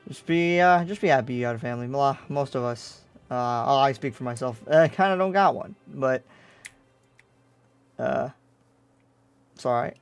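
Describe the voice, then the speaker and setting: A man playing an easygoing but wary demon slayer in an improvised roleplay, reasoning aloud in a casual, halting way. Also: medium pitch, clear, resonant tone, faint music behind, natural voice. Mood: wry, accepting.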